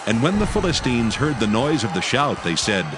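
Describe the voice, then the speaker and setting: A man narrating a dramatized Bible in a measured, formal reading style. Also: mid-range voice, big pitch swings, music in the background.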